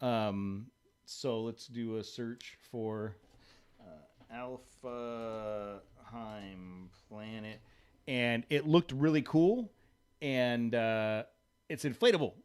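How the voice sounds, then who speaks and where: A man chatting loosely as a podcast co-host, rambling and restarting his sentences. His pitch sits in a middle range, and the talk stays relaxed and unscripted. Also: drawn-out words, smooth. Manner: rambling, restarts, swears casually. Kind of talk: casual chat.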